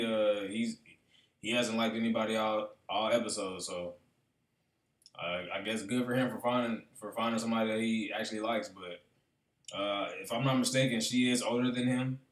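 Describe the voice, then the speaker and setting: A man's voice: on the low side, fairly even intonation, normal speed, long pauses. A man hosting a reaction video, talking casually and thinking aloud with plenty of fillers. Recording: echoey room, mic far off.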